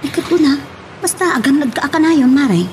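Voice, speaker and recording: Ilocano gal pal, highish voice swinging around, outspoken n sympathetic, radio drama. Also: female voice, lively intonation, normal speed, noisy background. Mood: concerned, emphatic. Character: sympathetic, outspoken female friend and confidante.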